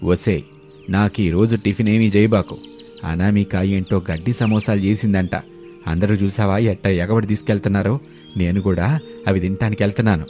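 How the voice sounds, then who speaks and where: A man's voice: lowish voice, fairly even intonation, moderate pace, mellow, soft background music, voice pushed down, natural voice. A man voicing a kindly older father-in-law, affectionate, supportive and emphatic, in a story cartoon. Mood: eager.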